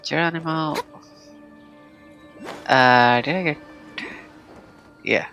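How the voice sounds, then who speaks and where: Very low, creaky-voiced woman streamer. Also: drawn-out words, fairly bright tone, muffled recording, faint music behind. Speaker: game streamer. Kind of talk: casual live gaming commentary.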